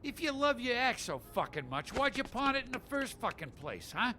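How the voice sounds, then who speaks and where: A man's voice: very high-pitched, sing-song, a little fast, bright, forceful tone, slight background noise, full character voice. A man playing a cocky, foul-mouthed pawnshop thug in a video game, drawling spiteful, contemptuous taunts. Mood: irritated, contemptuous. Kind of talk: video game drama acting. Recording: music behind.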